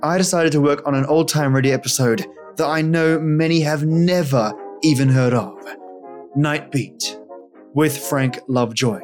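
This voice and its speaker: Male podcast host over faint music. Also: fairly high pitch. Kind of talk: scripted podcast introduction.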